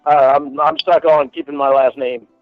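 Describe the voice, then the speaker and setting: A man with a stutter talking casually in a halting, defensive way. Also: mid-range voice, level tone, no room sound. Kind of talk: casual phone conversation. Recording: tinny phone-like line, music behind.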